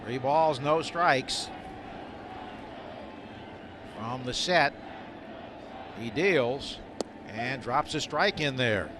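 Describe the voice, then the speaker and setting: A man's voice: medium pitch, lively intonation, slow. A man doing live radio baseball play-by-play in short, clipped, descriptive phrases. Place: outdoor ballpark broadcast booth. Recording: loud stadium noise behind the voice.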